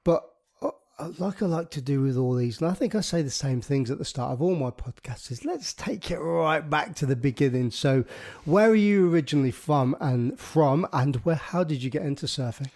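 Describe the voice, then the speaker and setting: Matey surf-podcast host chatting. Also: male voice, a little high, expressive, normal speed, a little mellow. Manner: matey, chatty questions with tag endings. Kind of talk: casual interview chat.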